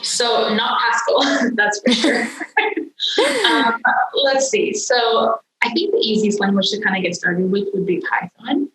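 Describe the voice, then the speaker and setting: A woman's voice: fairly high pitch, expressive, slow pace, fairly bright tone. Young woman explaining in an echoey room. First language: Hindi. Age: young adult. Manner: explanatory, frequent "um" fillers. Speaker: guest, software engineer. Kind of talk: casual interview answers.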